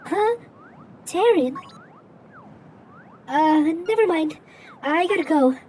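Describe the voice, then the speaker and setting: Man acting a young woman, video game character, high-pitched, mellow, hesitant, coy, faint noise. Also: lively intonation, slow, heavily acted. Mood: shy, hesitant.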